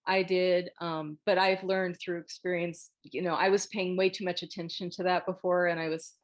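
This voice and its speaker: A woman's voice: on the low side, level tone, moderate pace. A woman hosting a casual group discussion over a video call, politely directing the others. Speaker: host moderating a script table read.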